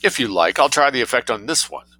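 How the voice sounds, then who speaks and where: A man's voice: mid-range voice, lively intonation, fast, pushed and bright, pitched above the performer's own voice. A man voicing a pompous, unruffled English lord in a short story, speaking formally and pedantically. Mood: measured.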